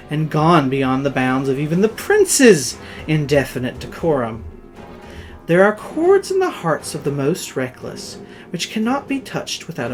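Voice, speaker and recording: A man narrating Gothic horror in a theatrical, dramatic way, with measured phrases that swell and drop in volume. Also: fairly high pitch. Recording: music under the voice.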